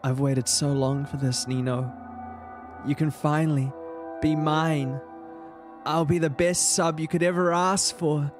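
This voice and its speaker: A man's voice: on the high side, steady tone, a little slow, music in the background, voice pushed up, close to an everyday voice. A man voicing an obsessive young stalker fan from a fan story, eager and breathless.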